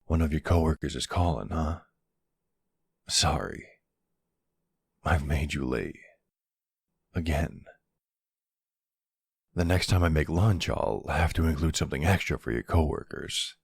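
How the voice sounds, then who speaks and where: A man playing a soft-spoken, courteous mafia boss in love, in an intimate boyfriend roleplay, with a low, gravelly, hushed voice and a tender, hesitant, earnest manner. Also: animated, a little slow, long pauses, dry recording, heavily acted. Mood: tender, playful. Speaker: audio roleplay voice actor.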